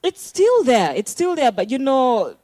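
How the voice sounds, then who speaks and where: A young woman, a guest on a talk show, speaking softly and warmly into a handheld mic with some hesitant fillers. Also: high voice, sing-song, a little slow, no echo, studio quality. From Uganda. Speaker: musician guest. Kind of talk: interview chat. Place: TV studio set.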